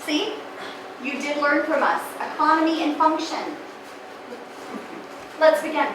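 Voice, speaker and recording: Brisk no-nonsense woman played by an actress, high voice, echoey stage far off. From Manchester, England. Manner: brisk, scolding and practical. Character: brisk, devout woman character from the past. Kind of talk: stage play performance. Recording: echoey stage heard from afar, background noise.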